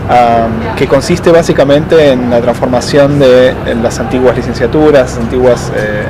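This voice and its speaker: A man, answering interview questions slowly, with frequent fillers and pauses. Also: mid-range voice. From Argentina. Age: 30s. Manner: thoughtful, hesitant, many fillers and slow pauses. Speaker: interviewee, doctoral student. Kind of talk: casual interview. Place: outdoors in a city. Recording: outdoor street noise.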